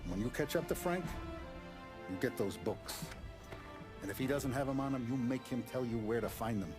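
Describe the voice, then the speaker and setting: An actor playing a grave, commanding mafia boss in a video game cutscene, speaking in a measured, ominous way. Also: male voice, medium pitch, animated, natural voice. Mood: cold, commanding. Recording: music behind the voice.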